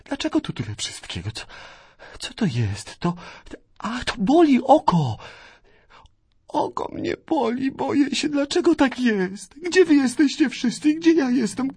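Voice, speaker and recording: An actor playing the bewildered, frightened mind of a newborn in a radio play, asking hesitant questions. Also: male voice, extremely high pitch, very animated, measured pace, a little mellow, slightly rough, dry recording, heavily acted. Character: newborn's bewildered consciousness, male, fearful.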